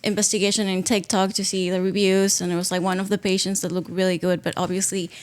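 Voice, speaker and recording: A woman in her thirties from Peru, whose first language is Spanish, speaks at a medium pitch. She gives a casual personal account as a guest, pausing at times to find words. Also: little pitch movement, smooth. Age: 30s. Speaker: podcast guest, patient.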